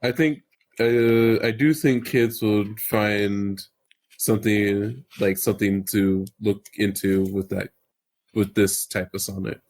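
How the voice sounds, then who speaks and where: A man chatting casually as a podcast panelist, offering his thoughts in a hesitant, measured way. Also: fairly low pitch, fairly even intonation, a little mellow, slight background noise. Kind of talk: casual chat.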